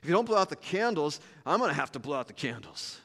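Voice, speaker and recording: A man voicing a gruff, chubby diner cook who talks loudly and bluntly, in a hall with long reverb. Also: fairly high pitch, big pitch swings, fast, exaggerated voice. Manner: gruff, loud, calls out.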